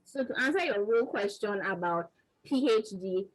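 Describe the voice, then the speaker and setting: Husky Nigerian woman, earnest and emphatic. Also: mid-range voice, lively intonation, moderate pace, rough recording. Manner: earnest and emphatic, repeats words as she builds a point. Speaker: panelist, vaccine research scientist. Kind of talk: motivational panel answer.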